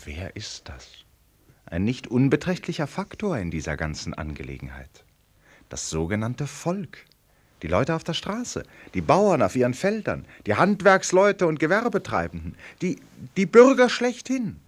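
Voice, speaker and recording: An actor playing an eloquent, flattering gentleman in a radio drama, earnest and rhetorical, moving between hushed and emphatic phrases. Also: mid-range voice, normal speed. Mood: reassuring. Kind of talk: German radio drama acting.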